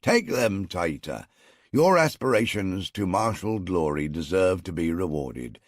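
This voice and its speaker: A man voicing a coarse, burly male army general in a storybook, talking in a booming, jovial way. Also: very animated, unhurried, dry recording, clean studio sound, heavily acted.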